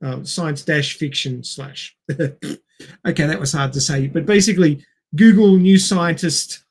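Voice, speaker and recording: A man co-hosting a podcast in a casual, halting way with many pauses. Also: on the high side. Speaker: podcast co-host.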